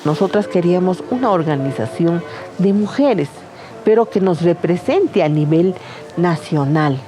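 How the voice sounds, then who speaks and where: Low, mellow-voiced woman. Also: lively intonation, measured pace, soft background music. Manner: warm, storytelling, with diminutives. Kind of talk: documentary interview.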